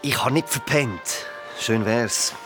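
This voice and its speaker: A man's voice: mid-range voice, slow, over music, natural voice. A man playing a stressed, irritable tradesman boss with a Swiss accent in a radio play. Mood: harried. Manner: irritable, cursing, complaining. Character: stressed, grumbling tradesman boss, working father. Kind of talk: naturalistic radio play acting.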